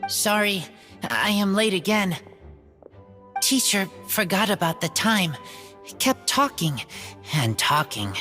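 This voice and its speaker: A woman voicing an earnest young boy raised in the wild for an anime-style game, speaking in plain, halting short sentences. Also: medium pitch, sing-song, fairly bright tone, soft background music. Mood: apologetic.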